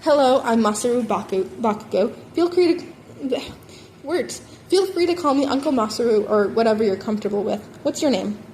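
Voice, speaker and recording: A woman's voice: on the high side, lively intonation, a little fast, darkish tone, close to an everyday voice. Mild, tired, courteous dad for a story reading female narrator, sighing delivery over slight background noise. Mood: weary, polite. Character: weary, polite, mild middle-aged father.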